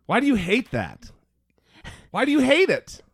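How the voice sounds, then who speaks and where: A man's voice: very high-pitched, wildly swooping pitch, a little slow, husky, no echo, studio quality. A man co-hosting a podcast in casual political chat, with a goofy voice and a playful, sarcastic, teasing manner. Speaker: podcast co-host.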